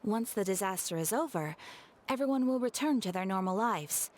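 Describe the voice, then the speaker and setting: A woman playing a calm, worried but hopeful townswoman in a video game. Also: mid-range voice, moderate pace, slight background noise, close to an everyday voice.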